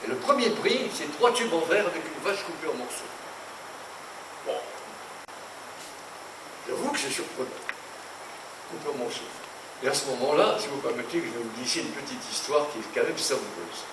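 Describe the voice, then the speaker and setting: A man's voice: fairly high pitch, moderate pace, pauses often. An older man from France, a painter, giving a rambling, indignant talk to an audience, full of asides and anecdotes. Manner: animated, indignant, digressive storyteller. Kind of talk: rambling lecture. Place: conference hall. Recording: echoey room, distant mic, noisy.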